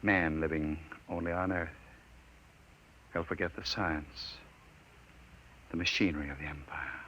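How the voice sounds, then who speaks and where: A man's voice: extremely low pitch, steady tone, a little slow, bright, forceful tone, exaggerated voice. An actor playing a weary, despairing man resigned to doom, brooding and solemn, in a 1950s radio drama. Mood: bleak, wistful. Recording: muffled, hissy 1950s radio recording.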